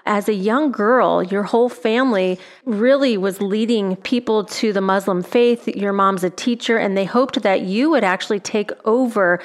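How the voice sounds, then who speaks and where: A woman's voice: mid-range voice, moderate pace, few pauses. A woman hosting a talk show, asking her guest encouraging questions. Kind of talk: interviewing a guest.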